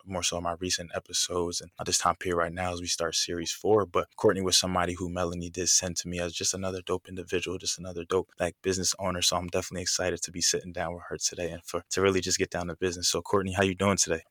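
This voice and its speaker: Young male podcast host, low voice, loose slangy delivery, fast pace. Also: dry recording, clean studio sound. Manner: relaxed, rambling, slangy. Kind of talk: casual podcast interview.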